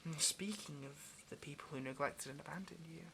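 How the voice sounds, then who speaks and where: A man's voice: fairly high pitch, a little fast, creaky, noisy background. A man playing a lonely, clingy yandere demon in an intimate audio roleplay, speaking tenderly and hesitantly straight to the listener with obsessive devotion. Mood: quietly menacing.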